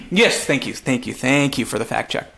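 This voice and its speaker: A man's voice: medium pitch, very animated, moderate pace, gravelly, a bit bright. A man from Montreal chatting casually and jokingly as a podcast co-host, swearing now and then. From Montreal, Canada. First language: English. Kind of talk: casual comedic podcast chat.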